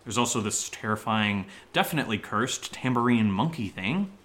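A man's voice: on the low side, lively intonation, moderate pace, a bit bright. An actor playing a nervous young man who rambles and stammers through a spooky story in a horror audio drama. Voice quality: hesitant, stammering, rambling with many fillers.

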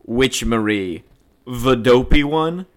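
A man's voice: mid-range voice, slow pace, natural voice. A man voicing an amorous, streetwise 1910s New York policeman with slangy, ungrammatical speech in a play reading. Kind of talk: play table read.

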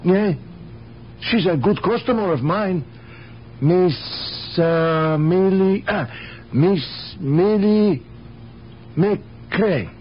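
High-voiced, halting, anxious shopkeeper. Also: male voice. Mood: earnest, defensive. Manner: halting, hesitant, pleading, non-native grammar. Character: anxious, polite male immigrant food store owner. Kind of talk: 1970s radio drama acting. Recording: dull, faintly noisy 1970s radio recording.

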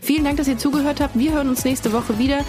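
A woman's voice: sits high, steady tone, quick pace, over music. A woman hosting a casual podcast chat, speaking informally and often searching for her phrasing. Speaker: podcast host.

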